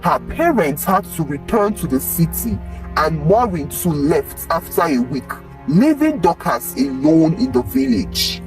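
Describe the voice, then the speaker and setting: A narrator telling a scripted folktale in a dramatic, expressive way, acting out the dialogue. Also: mid-range voice, a little slow, recorded from a distance, faint music behind, poor audio. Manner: expressive, acts out the characters' dialogue.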